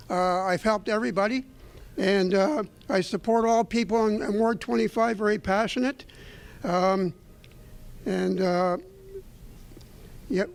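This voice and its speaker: A middle-aged man at a local debate who speaks earnestly but rambles and circles back on himself. His voice is notably high for a man. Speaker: election candidate. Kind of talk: debate closing remarks.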